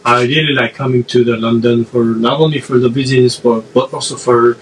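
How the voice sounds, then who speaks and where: A man with a Korean accent speaks as a podcast guest, in a mid-pitched voice. He pauses and restarts his phrases often as he answers. He sounds distant from the microphone, with a faint hum of room noise behind him. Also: steady tone. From South Korea. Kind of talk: casual interview answers.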